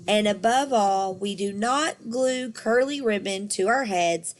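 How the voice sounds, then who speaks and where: A woman reader plays a patient but firm schoolteacher in a children's storybook. She addresses her young class in a measured, instructive way. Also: mid-range voice, lightly acted.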